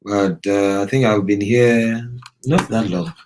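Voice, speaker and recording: A man's voice: fairly low pitch, measured pace, a little mellow, slight background noise. A man who grew up in Ghana, chatting casually on a live stream, thoughtful and often searching for his words.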